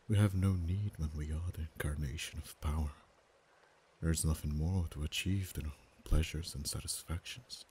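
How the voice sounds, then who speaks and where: A man voicing an ancient, proud, possessive male dragon in an ASMR roleplay, speaking softly and slowly, sometimes in whispers. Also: steady tone, a little hiss or hum. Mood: musing. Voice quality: very deep, soft-spoken. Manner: slow, measured, drops to whispers.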